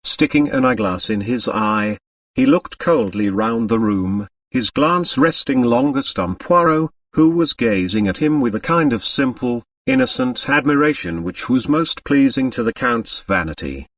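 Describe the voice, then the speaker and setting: Male audiobook narrator, literary storytelling, lively mid-range voice, dry and slightly distant recording. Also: mid-range voice, unhurried, no room sound.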